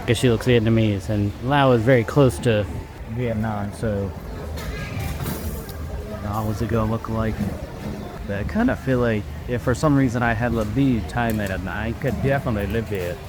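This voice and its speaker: A man chatting casually while filming a travel vlog outdoors in a city, thinking aloud in a relaxed way. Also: lowish voice, normal speed, music in the background. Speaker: travel vlogger. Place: outdoors in a busy city street. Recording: street noise behind. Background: traffic and city ambience.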